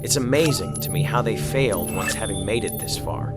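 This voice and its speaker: An actor playing an embedded war reporter, narrating a military campaign in a grave, reflective way. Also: male voice, on the low side, fairly quick, natural voice. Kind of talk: scripted documentary-like war narration. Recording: music behind the voice.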